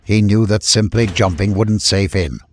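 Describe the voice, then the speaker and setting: A man narrates fantasy adventure fiction in a fairly low voice. The reading is scripted and dramatic, in audiobook style. A slight noise sits behind the voice. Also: moderate pace.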